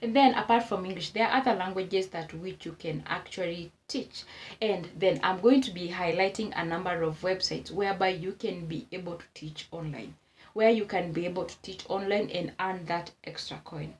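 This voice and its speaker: A woman's voice: fairly low pitch, moderate pace. A Kenyan woman vlogging casual advice to camera, repeating her points for emphasis and exclaiming as she goes.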